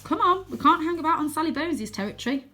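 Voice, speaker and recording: A woman voicing a brusque, hot-tempered female cat in a children's storybook, sharp and scornful. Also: sits high, lively intonation, fast talker, voice pushed up. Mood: scornful, impatient. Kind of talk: children's storybook character reading.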